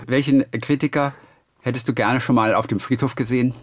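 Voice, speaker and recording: A man's voice: mid-range voice, fairly even intonation, brisk, no echo. A man interviewing an author, chatty and playful, laughing easily as he asks his questions.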